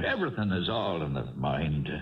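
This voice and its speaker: An actor playing a proud, broke Irish racehorse trainer, kindly and stubborn, with a folksy lilt, in a radio drama. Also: male voice, sits low, sing-song, normal speed. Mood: wry, resigned. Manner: folksy lilting turns of phrase. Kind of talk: 1970s radio drama acting. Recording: dull, distant 1970s radio recording.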